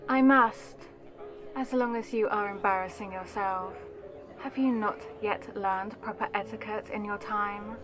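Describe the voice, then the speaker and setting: A woman's voice: mid-range voice, fairly even intonation, a little slow, close to an everyday voice. A woman playing an aristocratic elder vampire in an ASMR audio roleplay, composed and commanding, with formal, condescending poise.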